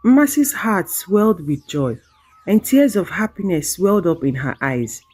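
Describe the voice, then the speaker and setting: A woman narrating a scripted folktale in a measured, dramatic storytelling manner. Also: on the low side, lively intonation, darkish tone, breathy edge, soft background music.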